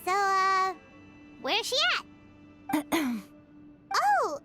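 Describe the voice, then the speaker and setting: Casting: tiny chirpy fairy sidekick for a game, squeaky childlike, extremely high and swooping, blunt and curious. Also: female voice, huge pitch swings, very slow, sharp tone, exaggerated voice. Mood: impatient, curious. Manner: speaks of self in third person, blurting questions. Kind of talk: animated video game voice acting. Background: music.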